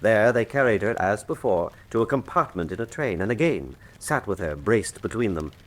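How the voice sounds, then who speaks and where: Grave worldly cardinal in a radio play, lowish voice, dignified but with lively dramatic intonation. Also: male voice, rough recording. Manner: measured, dignified, dramatic storytelling. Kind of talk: full-cast radio drama acting.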